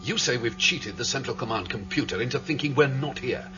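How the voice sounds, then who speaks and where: A man's voice: medium pitch, a little fast, close to an everyday voice. An actor playing a doubtful man who keeps asking how the plan works. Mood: puzzled. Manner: questioning, doubtful. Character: questioning man named Avery, doubtful. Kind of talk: radio drama acting. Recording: dull lo-fi radio drama with music.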